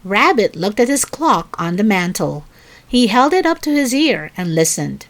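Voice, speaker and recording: A woman narrating a children's story in an expressive, deliberate read-aloud style. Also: mid-range voice.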